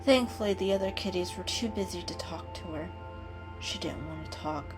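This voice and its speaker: A woman giving an expressive, dramatic reading of a children's animal story as a storyteller. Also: fairly low pitch, moderate pace. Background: background music.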